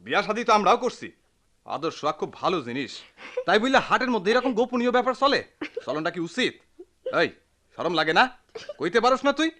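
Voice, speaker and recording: An elder brother in a village radio drama, chiding with comic indignation. His voice is high and sharp, with very lively intonation. He speaks rural Bangladeshi dialect. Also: a little fast, long pauses, exaggerated voice. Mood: scolding, amused. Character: scolding, mock-embarrassed elder brother of village wife.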